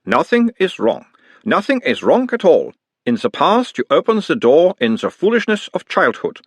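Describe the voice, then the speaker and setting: A calm analyst with a mild Uberwaldian accent coaxes answers in a raised, lilting voice, as a man puts him on. Each question is gentle and probing. The recording is dry and close. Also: a little high, moderate pace, slightly rough, no room sound, voice pushed up, exaggerated voice. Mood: coaxing. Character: orc playing his own analyst, calm, probing.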